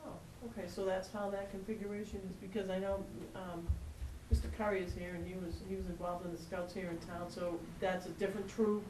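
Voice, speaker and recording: Woman chair, brisk and friendly, distant roomy room sound with hiss. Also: medium pitch, little pitch movement. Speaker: meeting chair. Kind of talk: town board meeting. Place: town hall meeting room. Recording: roomy hall audio from afar, background noise.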